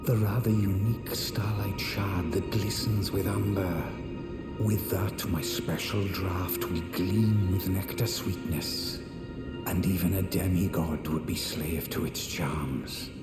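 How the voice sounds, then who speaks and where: An actor playing a haughty, condescending, scheming sorcerer and puppeteer in a fantasy video game, with pompous, sneering, theatrical phrasing. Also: male voice, low voice, fairly even intonation, slow pace. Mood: scheming, sly. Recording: echoey hall reverb under loud music.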